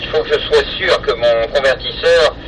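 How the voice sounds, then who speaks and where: A middle-aged man with an accent from France, chatting casually and haltingly over a two-way radio. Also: mid-range voice. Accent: French, from France. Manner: hesitant, halting. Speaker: amateur radio hobbyist. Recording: distant, very noisy FM radio contact.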